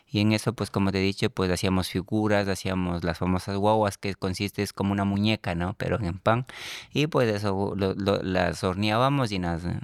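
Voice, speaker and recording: A middle-aged Ecuadorian man, a guest on a radio show, talks in a low, smooth, resonant voice. He pauses a lot, restarts his sentences and fills the gaps with "eh" and "pues". The sound is dry and close to the mic. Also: no room sound. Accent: Ecuadorian Spanish. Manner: hesitant, many fillers and restarts. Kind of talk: casual radio interview.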